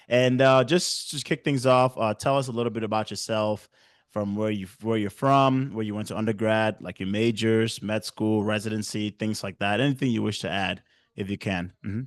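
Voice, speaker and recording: A man's voice: lowish voice, steady tone, moderate pace, dry recording, studio quality. A man hosting a casual interview, talking loosely with many fillers and restarts. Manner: filler-heavy, restarts often. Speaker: host.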